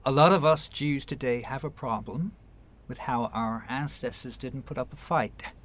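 An actor in a radio play voicing a guarded, wounded New York man with a measured, wry delivery. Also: medium pitch, a bit bright, lightly acted. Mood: angry, pained.

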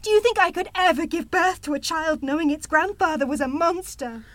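A woman's voice: very high-pitched, fairly quick, a bit bright, no echo. An actress in a radio play playing a bitter, fiercely protective young mother, indignant and cutting in her delivery. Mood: indignant, accusing.

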